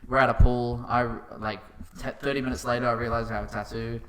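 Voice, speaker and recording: A man's voice: mid-range voice, steady tone, fast talker, a loud crowd. A young man telling stories in casual, matey podcast chat as a guest. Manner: informal, matey storytelling. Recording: roomy sound with clear noise.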